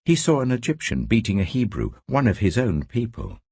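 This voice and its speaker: A man reading scripture as a dramatic scripted narration, telling the story in a measured, expressive way. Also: mid-range voice, gravelly, breathy edge, no room sound. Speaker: Bible narrator.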